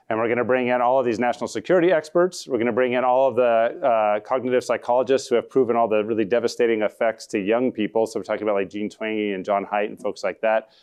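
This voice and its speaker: A man with a deep voice, a law professor answering audience questions at a talk in an earnest, conversational way that often backs up and corrects itself. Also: darkish tone, clean tone. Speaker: law professor, panel speaker.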